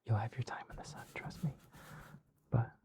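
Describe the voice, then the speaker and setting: A man whispering ASMR in the persona of a dog, with soft, coaxing, soothing pet-talk. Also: moderate pace.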